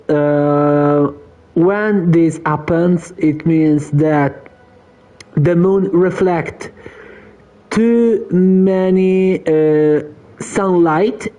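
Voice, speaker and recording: Slow-talking Italian-accented man. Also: fairly high pitch, dark tone. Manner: explains slowly, answering viewers, searching for words. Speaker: game streamer. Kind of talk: casual live stream chat.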